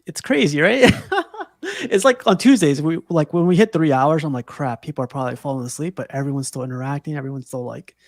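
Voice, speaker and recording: A man hosts a relaxed livestream chat from a video call, rambling and laughing often. His voice sits a little high with a gravelly edge. The sound is dry and close. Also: fast, no room sound. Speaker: podcast host.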